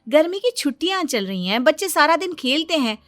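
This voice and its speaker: A woman playing a patient young Indian daughter-in-law in a cartoon family story, politely reasoning in measured phrases. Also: sits high, big pitch swings, dry recording. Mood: gently protesting.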